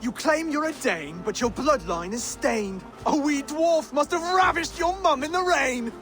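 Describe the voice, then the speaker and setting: High-voiced, earnest Saxon nobleman. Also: expressive, a little slow, a bit bright, soft background music, exaggerated voice. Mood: eager, fumbling. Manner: polite, eager, hesitant. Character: earnest, mild-mannered Saxon nobleman, would-be king. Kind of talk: dramatic video game acting.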